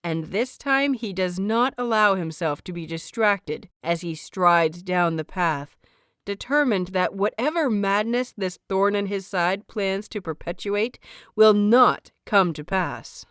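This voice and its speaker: A woman narrating a scripted story as an audiobook, with expressive, deliberate and dramatic phrasing. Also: mid-range voice, clean tone, no echo, studio quality.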